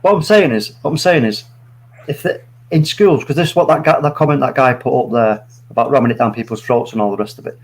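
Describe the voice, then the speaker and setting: A man's voice: medium pitch, fast, low-quality recording. A man in his late forties, a guest on a live stream, giving his views earnestly and rambling through many restarts. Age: 40s. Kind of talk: opinionated live chat.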